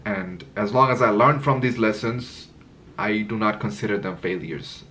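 Young male vlogger, lowish voice, candid unscripted talk to camera, rambling, home room. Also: moderate pace, fairly bright tone, a little hiss or hum. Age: 20s. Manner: candid, rambling, searching for words. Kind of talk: unscripted reflective monologue to camera. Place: indoor room with curtains.

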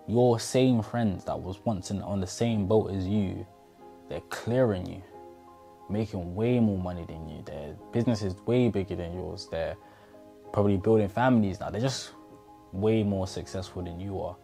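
Young guy talking straight to camera about getting serious, earnest, low voice, little bit of music under it. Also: gravelly, warm tone. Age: 20s. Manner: earnest, repetitive, talks straight to the viewer. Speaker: motivational vlogger. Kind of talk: casual motivational talk to camera. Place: bedroom indoors. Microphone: clip-on lapel mic.

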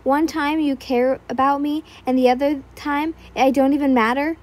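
A woman voicing a scared, hurt young person who shouts and sobs at a friend. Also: high voice, steady tone, moderate pace, close to an everyday voice. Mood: sobbing. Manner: sobbing, defensive, shouting.

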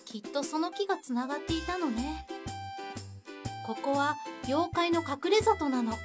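A woman voicing a gentle, big-sisterly courtesan yokai with soothing, polite speech in an anime-style voice drama. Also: high voice, expressive, a little slow, music in the background. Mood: gentle, welcoming.

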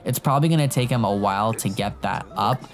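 A young man with a cold and a sore throat, chatting excitedly to viewers as he plays a life-simulation game into a headset mic. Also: lowish voice, quick pace, soft background music. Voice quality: voice sounds a bit off from illness. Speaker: gaming YouTuber. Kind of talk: casual let's-play commentary.

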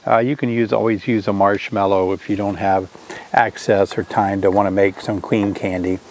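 A man's voice: on the low side, flat delivery, normal speed, a little hiss or hum. Chatty older man teaching beekeeping. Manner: chatty, asks viewers questions. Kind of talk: casual instructional vlog. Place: outdoors by a hive, then a covered porch.

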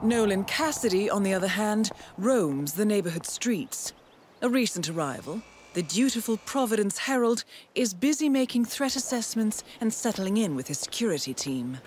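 A woman playing a composed intelligence handler in a video game, giving measured, formal and precise mission briefings. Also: medium pitch, animated, slight background noise, lightly acted. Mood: measured, poised. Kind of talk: scripted mission briefing narration. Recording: loud cinematic music behind. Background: loud music score.

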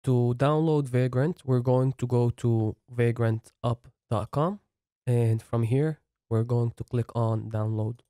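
A man talks through a coding setup tutorial in a steady, step-by-step way. His voice is mellow and dark, at an ordinary pitch. It is recorded dry and close, as a voice-over. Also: slow, dry recording. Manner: plain step-by-step explaining. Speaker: software tutorial instructor.

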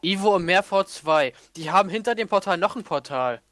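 A man chatting casually and excitably as he plays a game with friends. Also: high voice, fairly quick, no echo. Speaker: let's player in a group. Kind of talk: casual gaming chat.

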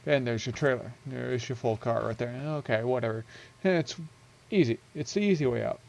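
A man's voice: mid-range voice, brisk, creaky, darkish tone, dull audio. A young man casually walking through a game build he made, rambling and correcting himself with many ums, into a headset mic at home. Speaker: gaming video creator.

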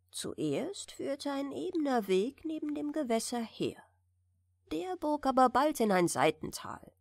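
A woman gently narrating a bedtime fairy tale in a soft, soothing manner. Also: mid-range voice, gravelly, dry recording, studio quality. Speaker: audiobook reader.

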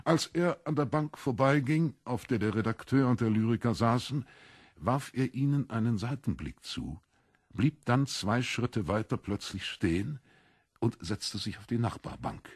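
A man's voice: medium pitch, lively intonation, a little slow, slightly rough, no room sound, studio quality. A man reading scripted literary narration for a German audio drama.

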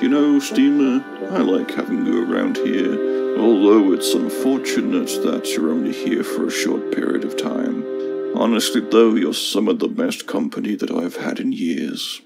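A man voicing an old, grumpy but polite steam engine in a cartoon, gravelly, measured and condescending. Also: low voice, expressive, over loud music, exaggerated voice. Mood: pleased, musing. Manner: measured, condescending, calls others young'un. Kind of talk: fan animated game cutscene acting.

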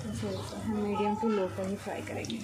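A woman hosting a cooking channel, calmly explaining a recipe step by step from her home kitchen. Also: medium pitch, brisk, very noisy.

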